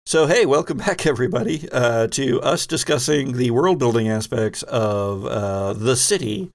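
A man with a gravelly, creaky voice at a medium pitch. He hosts a tabletop game podcast as game master, chatting casually. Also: lively intonation, normal speed.

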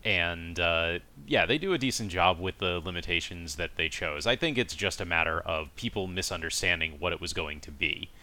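A man with a deep voice and a bright, forceful edge talks as a podcast co-host. He is casual and measured, qualifying his opinions as he goes through a review.

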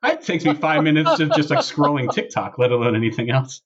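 A man's voice: a little high, wildly swooping pitch, brisk, a crowd in the background. A man hosting a podcast as casual comedic chat among friends, with a sardonic, incredulous way of talking. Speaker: podcast host. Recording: dull lo-fi sound.

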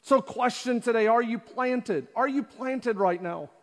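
A man's voice: very high-pitched, unhurried. A man preaching a sermon as pastor, asking the audience repeated rhetorical questions and acting out quotes. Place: church auditorium. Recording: long hall reverb.

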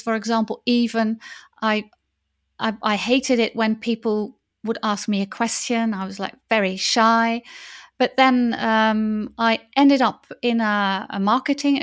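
A woman's voice: a little high, measured pace. A Dutch woman in her thirties, a native Dutch speaker talking in English as a wedding officiant in a podcast interview, enthusiastic, with many fillers and restarts. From Netherlands.

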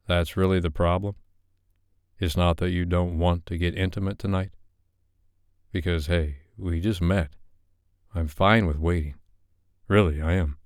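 A man playing a laid-back, reassuring photographer and lover in a romance audiobook, speaking in a calm, coaxing way. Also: low voice, unhurried, long pauses, no echo, clean studio sound. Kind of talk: romance audiobook character dialogue.

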